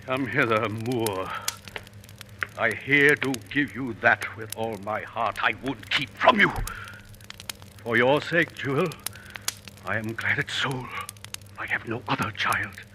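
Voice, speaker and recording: A man's voice: medium pitch, takes it slowly, pauses often, close to an everyday voice. Male actor as grieving noble father, stern, theatrical, mid-range voice, noisy vintage radio recording. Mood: wounded, resigned. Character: grieving, bitter noble father of a grown daughter. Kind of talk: 1940s radio Shakespeare acting. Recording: noisy old radio broadcast.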